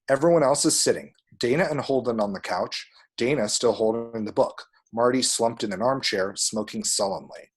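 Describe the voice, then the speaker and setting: Slightly husky man narrating a screenplay. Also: medium pitch. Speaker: reader of screenplay action descriptions. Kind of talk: scripted narration at a table read.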